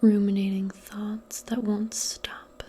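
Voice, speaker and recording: A woman role-playing in soft-spoken ASMR, speaking gently and soothingly in a breathy, mostly whispered voice. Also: medium pitch, level tone. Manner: gentle, soothing, unhurried, conversational. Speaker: ASMR performer role-playing a modern witch.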